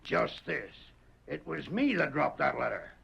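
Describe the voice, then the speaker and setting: Gravelly, high-voiced American outlaw boss. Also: male voice, big pitch swings, measured pace, a bit bright, exaggerated voice. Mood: menacing. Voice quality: gravelly, raspy. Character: ruthless, threatening Western outlaw gang leader. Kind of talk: 1940s radio western acting. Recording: muffled vintage radio recording.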